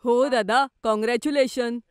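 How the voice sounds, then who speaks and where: Woman as a high-voiced curious cartoon boy. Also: steady tone, normal speed, natural voice. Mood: excited. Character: curious, polite young boy in a children's cartoon. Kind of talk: cartoon voice acting. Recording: music under the voice.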